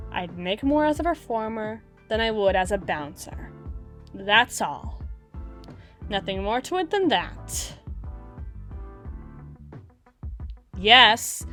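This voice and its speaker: A woman in an ASMR roleplay voicing a gruff, guarded drider girl bouncer in her twenties, wry and brusque with exasperated sighs. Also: a little high, pushed and bright, exaggerated voice. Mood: irritated. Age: 20s. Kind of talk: ASMR roleplay audio drama. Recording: music playing in the middle distance. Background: club song playing in the middle distance.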